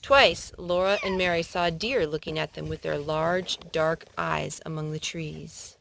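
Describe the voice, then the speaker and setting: A woman's voice: low-pitched, steady tone, unhurried, vocal fry, a bit bright, slight background noise. A woman reading stories aloud for children, now and then correcting a slip as she goes. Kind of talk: read-aloud children's storytelling.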